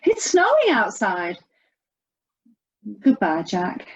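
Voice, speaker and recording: A woman playing an emotional, tired, guarded young woman in an audio play, halting and dramatic. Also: mid-range voice, huge pitch swings. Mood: weary, guarded. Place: recorded at home during lockdown. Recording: muffled home recording.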